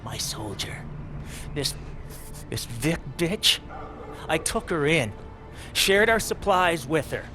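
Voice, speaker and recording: A folksy threatening raider boss for a game cutscene, highish male voice, drawling, music behind. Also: big pitch swings, slow, long pauses. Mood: bitter, menacing. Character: menacing backwoods male raider leader, aggrieved and folksy. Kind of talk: video game cutscene acting.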